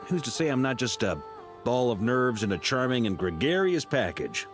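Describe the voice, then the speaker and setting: An actor playing a charming, roguish knight in a video game cutscene, with a wry, casual and expressive delivery. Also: male voice, mid-range voice, normal speed, natural voice. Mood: wry, flustered. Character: roguish charming grand-master knight, self-deprecating under pressure. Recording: music behind the voice.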